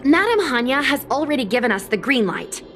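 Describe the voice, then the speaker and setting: A woman's voice: sits high, sing-song, a little fast, a bit bright, soft background music. A woman voicing a chatty, confident young girl influencer in an anime game, breezy and persuasive. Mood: boastful, persuasive. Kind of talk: anime game dialogue acting.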